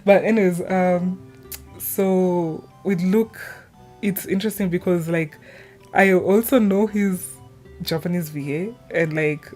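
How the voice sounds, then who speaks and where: Low-voiced young woman chatting over music. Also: a little slow, darkish tone. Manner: informal, chatty, trailing tangents. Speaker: gaming video creator. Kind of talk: casual rambling opinion chat.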